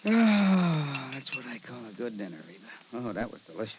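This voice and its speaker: An actor playing an amiable, slightly sheepish police sergeant husband in an old-time radio playlet, folksy and halting. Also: male voice, medium pitch, very animated, natural voice. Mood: contented. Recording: muffled old radio recording, faint hiss.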